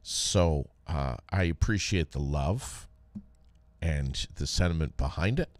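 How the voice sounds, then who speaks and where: A very deep-voiced American man hosts a casual solo podcast. He talks slowly, pausing often as he gathers his words. The sound is dry and close on the microphone. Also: animated, no echo. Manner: halting, conversational delivery with frequent pauses. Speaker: podcast host, former radio DJ. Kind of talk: casual solo podcast talk with read sponsor plug.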